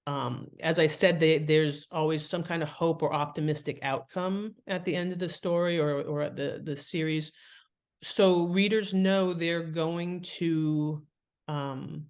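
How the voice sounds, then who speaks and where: A woman's voice: low-pitched, steady tone, measured pace. A woman talks as an interview guest with a writer's teaching bent. She explains in a conversational way and illustrates her points with examples. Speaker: guest author and writing teacher.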